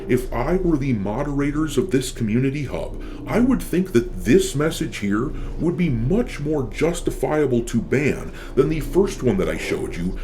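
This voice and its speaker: A man's voice: medium pitch, a little mellow, a little hiss or hum. A man giving opinionated gaming commentary as a channel host, blunt and sardonic, swearing casually.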